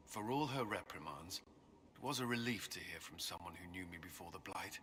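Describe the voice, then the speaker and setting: A haunted templar knight weighed down by guilt, his low voice bright and forceful. He speaks in measured, solemn tones over a faint hiss of game ambience. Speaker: game character.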